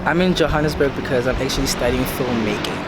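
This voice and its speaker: A man's voice: mid-range voice, quick pace, very noisy. A young man, a film student, speaking earnestly in a street interview. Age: young adult. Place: city street, outdoors.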